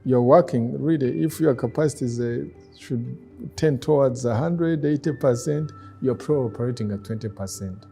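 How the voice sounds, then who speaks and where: A middle-aged man with a Rwandan accent, a native Kinyarwanda speaker, talking reflectively in a deliberate, measured way with pauses. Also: medium pitch, vocal fry, mellow, faint music behind. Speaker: guest speaker, former ambassador. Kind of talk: reflective personal talk.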